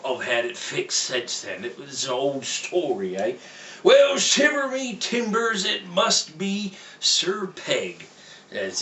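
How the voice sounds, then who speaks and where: A man's voice: on the high side, fairly bright tone, slight background noise, heavily acted. A man voicing a jolly old pirate, Santa's seafaring cousin, reading a storybook aloud in folksy pirate slang, tagging his lines with "eh?". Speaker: storybook reader. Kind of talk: in-character read-aloud. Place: room, reading to a computer. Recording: roomy, mic at a distance.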